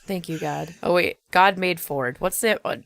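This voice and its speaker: A woman's voice: fairly low pitch, normal speed, vocal fry, fairly bright tone. A woman co-hosting a podcast in casual comedic banter, speaking in an offhand, slangy way with some swearing. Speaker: podcast co-host.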